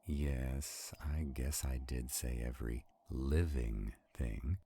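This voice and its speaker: Guy doing an arrogant stubborn vampire for a roleplay audio, slow and gravelly, super husky, kinda irritated. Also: extremely low pitch, mellow, a little hiss or hum, heavily acted. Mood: measured, explaining. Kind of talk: roleplay audio drama acting.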